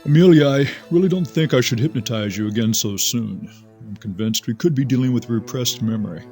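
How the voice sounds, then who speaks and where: A man's voice: medium pitch, brisk, darkish tone, soft background music, natural voice. An actor playing a careful, commanding hypnotherapist in an audio drama, a man guiding a patient. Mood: firm, reassuring.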